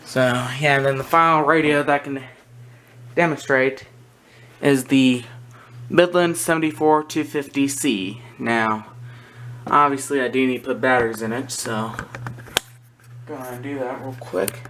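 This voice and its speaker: A man giving a casual, unscripted show-and-tell in a halting way, often pausing mid-sentence. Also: medium pitch, fairly even intonation, fairly bright tone. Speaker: hobbyist video presenter. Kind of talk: casual unscripted product demonstration. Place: indoor room with wooden shelves. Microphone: handheld camera's built-in mic. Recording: background noise, amateur handheld camera recording.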